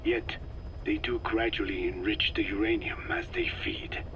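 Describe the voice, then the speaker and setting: An actor playing a solemn, elderly Navajo scientist in a video game, speaking in a breathy whispery rasp with halting, measured phrases. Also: male voice, lowish voice, fairly even intonation, a bit bright, lo-fi sound, slight background noise, natural voice. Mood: grave, explaining. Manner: slow, measured, halting delivery in short phrases. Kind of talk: dramatic video game dialogue.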